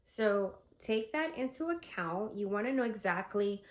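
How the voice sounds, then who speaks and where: Female vlogger with a head cold, congested and chatty, middle-pitched voice. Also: unhurried. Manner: chatty, animated, lots of fillers. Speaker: vlogger and candle seller. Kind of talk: casual advice vlog.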